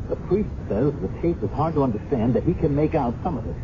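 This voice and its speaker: An actor playing a dogged, guilt-ridden police sergeant in an earnest, insistent 1970s radio drama style. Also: male voice, a little high, animated, quick pace. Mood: determined. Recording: muffled, noisy 1970s radio recording.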